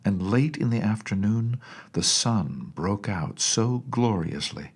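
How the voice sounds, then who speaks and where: A man reading a classic children's story as an audiobook narrator, in a measured and expressive way. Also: lowish voice, breathy edge.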